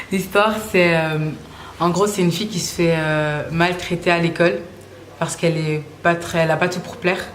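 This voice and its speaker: Young woman chatting loose and slangy, lots of uhs, roomy echo, bit of hiss. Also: low-pitched. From Belgium, Brussels. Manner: relaxed, slangy, with frequent hesitations. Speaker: singer interviewed about her music. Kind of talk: casual interview answers.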